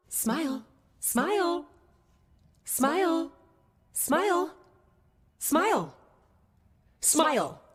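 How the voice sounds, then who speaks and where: An actress playing a relentlessly cheerful, controlling theme-park announcer, making scripted public-address announcements in long reverb. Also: female voice, sits high, bright, forceful tone, full character voice. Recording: long hall reverb, faint music behind.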